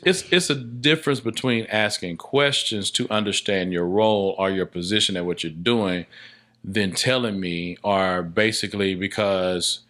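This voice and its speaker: A man's voice: low voice, a bit bright. A man speaking as a filmmaker guest in a casual interview, often repeating words as he reasons things out. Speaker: podcast guest, filmmaker and stage play director.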